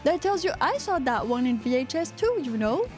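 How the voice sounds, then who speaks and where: A woman's voice: high voice, big pitch swings, normal speed, faint music behind. A woman from Quebec with a Quebecois accent, co-hosting a casual live podcast, rambling and joking as she reads out chat comments. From Quebec, Canada. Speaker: podcast co-host.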